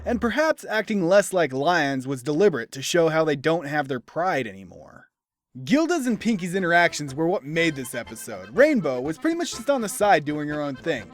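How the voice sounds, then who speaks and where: A man's voice: a little high, sing-song, normal speed. A man narrating a scripted review as host in a theatrical, opinionated manner. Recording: background music behind voice. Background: instrumental music.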